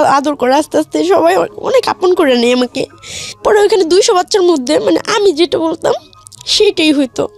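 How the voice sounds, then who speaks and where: A woman's voice: very high-pitched, gravelly, a little mellow. A woman with a Bangladeshi accent earnestly recounting her own life story in colloquial speech as an interview guest. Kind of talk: personal life-story narration in a radio interview.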